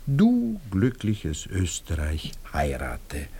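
An actor with an Austrian accent playing a smug, scheming suitor who threatens coolly in a radio drama. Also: male voice, low voice, huge pitch swings, very slow, warm tone, breathy edge, full character voice. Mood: smug, menacing. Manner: insinuating, smug, sardonic.

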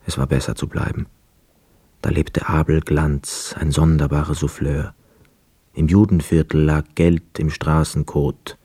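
An actor playing a brooding, hesitant ex-prisoner of war, telling his story in a measured, introspective way in a radio drama. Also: very deep voice, gravelly, mellow, breathy edge, no room sound, heavily acted. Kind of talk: literary radio drama narration and dialogue. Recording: close dry studio, music behind. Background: music.

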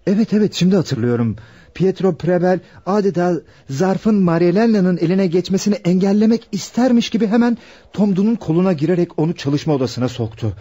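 An actor playing an earnest young fiancé who helps a police investigation in a Turkish radio play. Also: male voice, fairly high pitch, mellow. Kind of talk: Turkish radio theatre acting. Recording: dull, muffled old radio drama recording.

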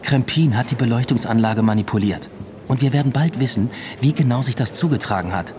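A man's voice: mid-range voice, brisk, noisy background, natural voice. An actor playing a blunt, stubborn male police detective who speaks tersely and presses people hard in a radio play. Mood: confronting.

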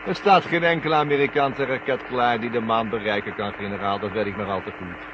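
An actor playing a smug, scornful traitor astronaut who talks in a mocking, condescending way, in a 1970 Dutch radio drama. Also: male voice, on the low side, steady tone, fairly quick, very noisy, natural voice. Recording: muffled, humming 1970 radio recording.

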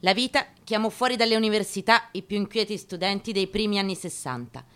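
A woman giving a dramatic literary reading, solemn and declamatory, with deliberate pauses, over guitar. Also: mid-range voice, a bit bright. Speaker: actress giving a staged reading. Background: guitar music.

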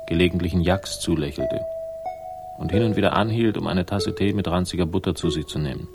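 An actor playing a wry, world-weary detective, narrating a radio crime drama in a dry, sardonic manner. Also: male voice, low-pitched, creaky.

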